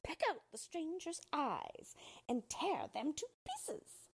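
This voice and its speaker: A woman voicing a cruel wicked witch who gives orders in a shrill, drawn-out voice. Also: sing-song, exaggerated voice. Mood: commanding.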